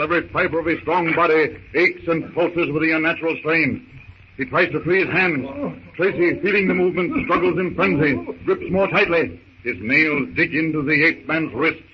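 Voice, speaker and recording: A man's voice: fairly high pitch, normal speed, muffled recording, slight background noise. A man narrating a 1930s radio adventure serial in a theatrical, urgent manner with emphatic short phrases, on an old radio recording.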